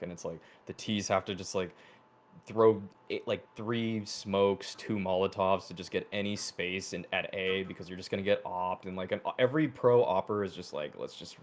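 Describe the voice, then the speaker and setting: A man's voice: low voice, normal speed. A man co-hosting a podcast in casual chat, steadily explaining game tactics with jargon. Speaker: podcast co-host.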